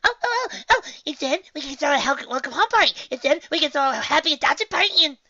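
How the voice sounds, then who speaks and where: A man voicing a hyper, gleeful female pony with an excitable, gushing manner in a story reading. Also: extremely high pitch, fast talker, recorded from a distance, dull audio, voice pushed up, full character voice. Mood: giddy.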